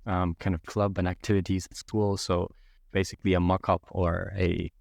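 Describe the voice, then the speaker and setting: A young man from Yemen speaks in a low, steady voice with little rise and fall. He takes his time, pausing on "um" and "uh" as he reflects. The sound is close and dry, with no room around it. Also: gravelly, no room sound. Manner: measured, thoughtful, many fillers. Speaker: podcast guest. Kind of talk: reflective interview answers.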